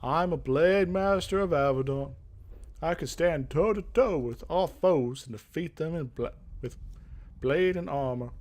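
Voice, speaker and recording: A man voicing a scarred young fantasy game warrior, bitter but earnest and eager to prove himself, with a solemn, measured, dramatic delivery. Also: on the high side, darkish tone, natural voice. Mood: earnest, determined.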